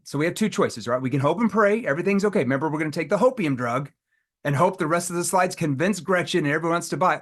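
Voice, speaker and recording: Animated man teaching a sales class. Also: on the high side. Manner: animated, acts out prospect dialogue with rising tone and pauses. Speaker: sales trainer. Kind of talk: live sales training seminar.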